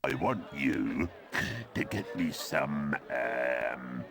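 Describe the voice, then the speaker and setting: An actor playing a nasty, slow-witted troll in a video game, with a gravelly voice and halting speech. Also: male voice, fairly bright tone, exaggerated voice. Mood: slow demanding. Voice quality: very deep, gravelly. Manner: halting, ponderous. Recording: game audio under music.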